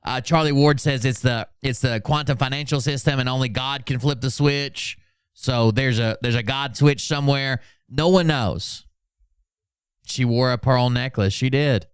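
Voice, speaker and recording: Casting: male livestream host, low-key dry acoustic, smooth voice, mocking and sardonic commentary. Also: moderate pace, no room sound. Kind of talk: sarcastic reaction commentary with mock-dramatic readings.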